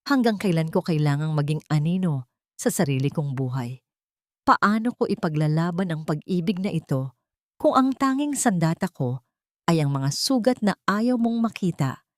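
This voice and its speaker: A woman's voice: on the low side, animated, normal speed, no echo, studio quality, natural voice. A woman voicing a wounded, humble young maid in an audio drama, pleading and inward. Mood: anguished.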